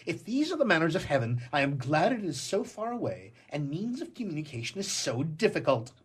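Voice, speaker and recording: Man acting a cynical jilted gentleman, swooping and husky. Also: on the high side, sing-song, fairly quick. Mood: scandalized, indignant. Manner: sarcastic, declamatory, emphatic. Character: jilted, cynical, sneering man of the world, scandalized and biting. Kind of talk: theatrical stage comedy acting.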